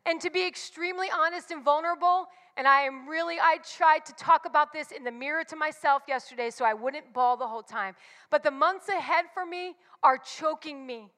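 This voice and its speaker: A woman's voice: high-pitched, fairly quick, bright, forceful tone, in a large hall. A woman in her forties giving a candid, confessional devotional talk with emphatic repetitions. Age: 40s. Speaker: church speaker.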